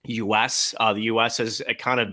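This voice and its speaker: A man with a low but bright, tense voice talks hockey in long, filler-laden monologues. His delivery is casual and sure of itself. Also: level tone, moderate pace. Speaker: podcast co-host, hockey broadcaster. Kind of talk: casual sports chat.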